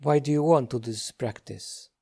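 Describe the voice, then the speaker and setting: A man leading a guided meditation as a teacher, speaking gently in short, unhurried phrases with many pauses. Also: mid-range voice, vocal fry, husky.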